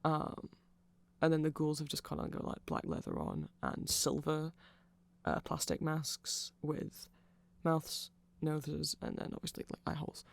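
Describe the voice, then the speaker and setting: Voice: medium pitch, big pitch swings, measured pace, gravelly, no echo. A person giving a rambling, informal and opinionated ASMR-style explanation as a content creator.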